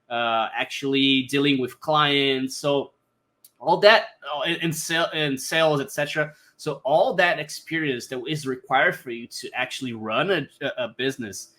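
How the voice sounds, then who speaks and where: A man in his thirties from Brazil, a native Portuguese speaker, telling his life story as a podcast guest in halting English full of fillers and restarts. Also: a little high, pushed and bright. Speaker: podcast guest, brand designer. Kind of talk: casual interview storytelling.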